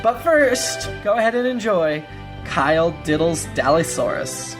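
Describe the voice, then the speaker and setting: A woman's voice: lowish voice, animated, unhurried. A woman hosting a podcast, reading a scripted introduction and announcements. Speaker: podcast host. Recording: music bed under voice. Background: music.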